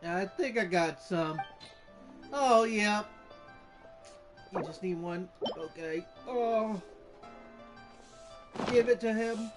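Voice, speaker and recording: A man's voice: sits high, slow, pauses often, music in the background. A man giving casual, playful gameplay commentary as host, talking to himself as he plays. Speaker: gamer, channel host.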